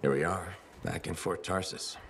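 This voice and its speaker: An actor playing a wry, easygoing freelancer pilot in casual video game dialogue. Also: male voice, deep voice, steady tone, moderate pace, slight background noise. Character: easygoing deep-voiced male freelancer pilot, wry hero.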